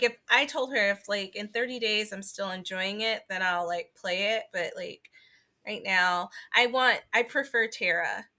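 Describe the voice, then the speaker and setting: A woman's voice: medium pitch, pushed and bright. A woman podcast co-host in casual chat about games, informal and sweary, peppering her speech with "like".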